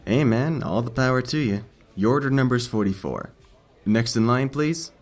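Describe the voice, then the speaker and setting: A man playing a sleepy, laid-back badger fast-food cashier with a lazy, casual drawl in an audiobook. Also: mid-range voice, soft background music, close to an everyday voice. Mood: mellow, friendly. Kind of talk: audiobook character acting.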